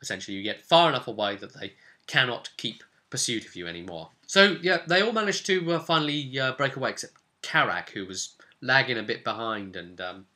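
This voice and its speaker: A man casually recounting a role-playing session as game master, telling it in an animated storyteller's way. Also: mid-range voice, brisk.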